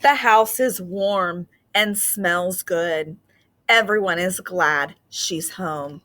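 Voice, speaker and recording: A woman teacher explaining reading ideas to children in a gentle, patient way with frequent fillers, then reading a picture book aloud expressively. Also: lowish voice, takes it slowly.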